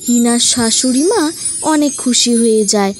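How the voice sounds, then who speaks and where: A woman reading scripted narration for an animated moral story, with music behind her. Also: fairly high pitch, normal speed.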